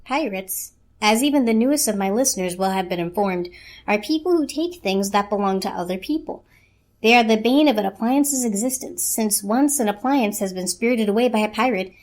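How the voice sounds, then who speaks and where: A woman reading scripted story narration as a narrator, in a measured, literary storytelling manner. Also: mid-range voice, a little mellow.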